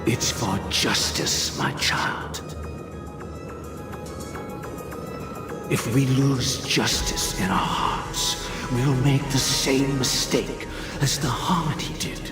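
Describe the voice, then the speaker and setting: A man playing a grandiose older mentor villain in a video game, speaking in a solemn, measured, theatrical manner. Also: mid-range voice, expressive. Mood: earnest. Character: calm, grandiose older male mentor villain preaching justice. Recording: echoing voice under music.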